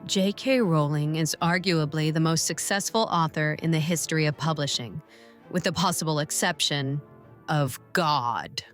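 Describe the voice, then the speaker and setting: A woman's voice: low voice, moderate pace, soft background music, natural voice. A woman voicing a pompous, reverent fan in a grandiose comment reading. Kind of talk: dramatized reading of online comments.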